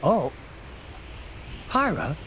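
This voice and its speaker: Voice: mid-range voice, big pitch swings, extremely slow, a bit bright, heavily acted. An actor playing an earnest, wide-eyed youthful adventurer in a video game cutscene. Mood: surprised. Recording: faint ambient noise behind.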